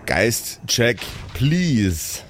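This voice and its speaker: A man's voice: mid-range voice, sing-song, very slow, a little hiss or hum. A man acting as game master, improvising scene descriptions for a tabletop role-playing game.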